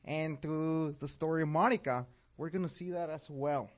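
A man's voice: a little high, steady tone, normal speed. A man from Mexico with a Mexican accent, teaching a church class and asking his audience questions in an upbeat way. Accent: Mexican Spanish. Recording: dull lo-fi recording.

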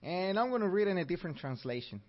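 A man's voice: high-pitched, sing-song, fast talker. Upbeat man with a Mexican accent. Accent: Mexican Spanish. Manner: upbeat, asks the audience questions. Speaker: church class teacher. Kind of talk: interactive church class teaching. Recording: dull lo-fi recording.

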